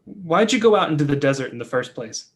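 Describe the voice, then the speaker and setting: Man acting an uptight, higher-voiced cautious brother. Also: big pitch swings, brisk. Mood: puzzled. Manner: hesitant, guarded. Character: uptight, cautious screenwriter brother, male, polite and exasperated. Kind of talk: play reading, stage drama dialogue.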